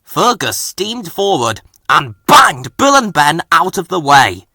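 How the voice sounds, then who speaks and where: Male children's story narrator, expressive and dramatic, forceful bright tone with big pitch movement. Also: takes it slowly, no echo, studio quality. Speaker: storybook reader.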